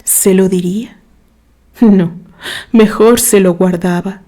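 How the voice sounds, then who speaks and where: Woman, audio story narrator, unhurried pace, warm dark timbre, expressive literary reading, measured pauses. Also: mid-range voice.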